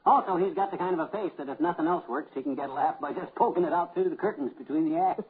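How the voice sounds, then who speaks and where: An actor playing a boastful, folksy middle-aged husband and former vaudeville comic, blustering and rambling in 1950s radio comedy style. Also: male voice, a little high, fast, lightly acted. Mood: folksy gossip. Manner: blustering, rambling, self-assured. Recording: muffled, narrow old radio recording.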